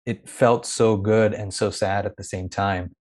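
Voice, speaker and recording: A man's voice: on the low side, steady tone, a little slow, a little mellow. A man who grew up in India, now a young adult, speaking in a thoughtful, searching way as an interview guest, often restarting his sentences. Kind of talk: reflective conversational interview.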